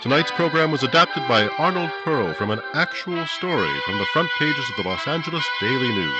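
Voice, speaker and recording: A polished actor as a male radio announcer from 1950, with music behind him. His pitch moves widely as he delivers formal, emphatic copy with earnest salesmanship. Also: muffled recording, natural voice. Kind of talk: 1950s radio announcing, scripted.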